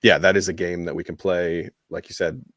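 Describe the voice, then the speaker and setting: A man chatting casually about soccer as a podcast panelist, in a halting, wry way. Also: deep voice, level tone, fairly bright tone, dry recording.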